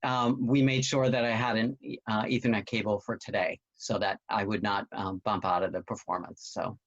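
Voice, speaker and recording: A man's voice: fairly low pitch, level tone, normal speed. A man, a stage actor, answering casually in a reflective, explanatory way over a video call. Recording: dull lo-fi video-call audio.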